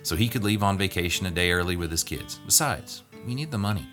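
Storybook son, middle-aged, done by a male narrator: low voice, put-upon, resigned. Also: animated, quick pace, music in the background. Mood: defensive, resigned. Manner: mumbling, resigned, dryly sarcastic.

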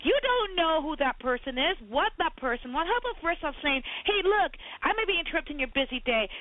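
Woman from Barbados, podcast co-host, high and forceful, heard over a thin phone line. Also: fairly quick, dry recording. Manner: emphatic, animated, ranting. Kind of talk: heated podcast debate. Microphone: phone call.

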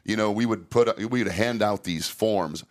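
A middle-aged man with a fairly low voice hosts a relaxed podcast chat. He talks candidly and rambles, often restarting his sentences as he works through his thoughts. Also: normal speed. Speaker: safety trainer and podcast host. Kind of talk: casual podcast conversation.

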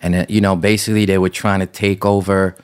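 A man's voice: sits low, quick pace, clean tone. A man who grew up in the Netherlands, a podcast guest chatting casually with lots of fillers. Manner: relaxed, loose, frequent fillers. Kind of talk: casual interview chat.

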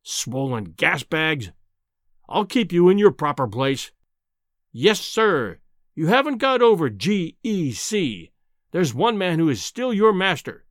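Casting: male narrator as a domineering, stocky scientist for a storybook, scornful and bellowing, high-pitched and animated, recorded dry. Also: slow, no echo. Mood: menacing contempt.

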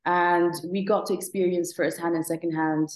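A woman in her thirties, originally from Morocco, speaks as an interview guest with a low, even-paced voice. She talks in a reflective way, stopping for fillers and restarts. Her voice carries a noticeable room echo. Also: normal speed. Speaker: guest, app founder. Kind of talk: conversational interview.

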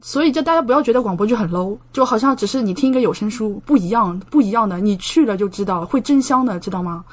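A young woman from China chatting casually as a podcast guest, expressive and enthusiastic in the way she talks. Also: medium pitch, fast talker, hardly any pauses. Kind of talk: casual chat.

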